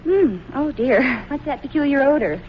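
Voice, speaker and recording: Wry sitcom mother, high lilting voice, patient, teasing, vintage radio comedy, muffled noisy recording. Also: animated, normal speed. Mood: motherly, suspicious. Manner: dryly teasing. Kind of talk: 1940s radio comedy acting.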